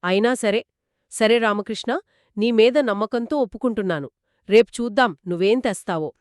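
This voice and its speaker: A woman co-narrating a children's story in a conversational, expressive and engaging style. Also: fairly high pitch, moderate pace, dry recording, studio quality. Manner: expressive, engaging, acts out characters' quoted lines.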